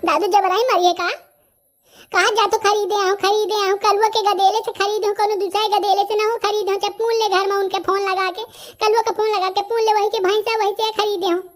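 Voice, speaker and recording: A tiny, squeaky male villager pleads his innocence in a comedy cartoon. The voice is extremely high, almost childlike, with a Bundelkhandi accent and a protesting manner. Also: little pitch movement, a little fast, faint music behind. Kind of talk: comic cartoon dialogue.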